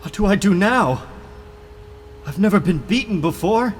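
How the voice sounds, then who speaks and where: A man's voice: high voice, animated, moderate pace, big-room sound, a little hiss or hum. A man playing a defeated, self-doubting young superhero in a dramatic comic book reading. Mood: despondent. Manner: dramatic, dejected.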